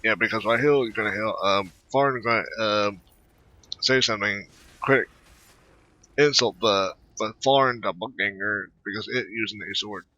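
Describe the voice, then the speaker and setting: A man giving casual, rambling commentary over a game playthrough, halting and often repeating himself. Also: on the low side, sharp tone. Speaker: gaming video host. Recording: dull, lo-fi close dry mic.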